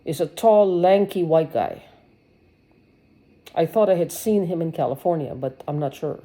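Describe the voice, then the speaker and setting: Lowish-voiced woman ranting to her camera, dark mellow tone, sarcastic and swearing, keeps restarting. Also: animated, moderate pace. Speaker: vlogger. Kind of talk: rambling personal monologue.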